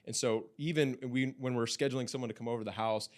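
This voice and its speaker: A man in his thirties, a father of young kids, talking reflectively in a casual podcast chat with halting restarts. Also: mid-range voice, steady tone. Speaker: podcast co-host.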